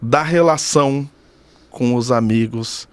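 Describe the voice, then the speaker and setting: Low-voiced Brazilian man, thoughtful and close-miked. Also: slow, clean tone, no echo. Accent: Brazilian Portuguese. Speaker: podcast guest, doctoral student in communication. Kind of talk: casual, reflective interview talk.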